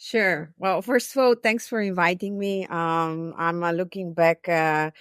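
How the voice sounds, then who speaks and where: Woman, low dry voice. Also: steady tone, measured pace, smooth, no echo. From Bulgaria. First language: Bulgarian. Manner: friendly, thoughtful, frequent ums and uhs. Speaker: podcast guest, tech recruiter. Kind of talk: conversational interview.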